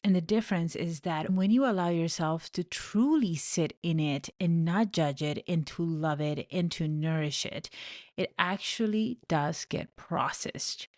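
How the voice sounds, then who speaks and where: A woman's voice: fairly low pitch, measured pace, no echo, studio quality. A pregnant woman in her thirties from Venezuela, hosting a faith-based coaching podcast in an earnest, heartfelt way. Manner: earnest, heartfelt, with soft laughs. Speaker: podcast host, life coach. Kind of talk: personal reflective podcast talk.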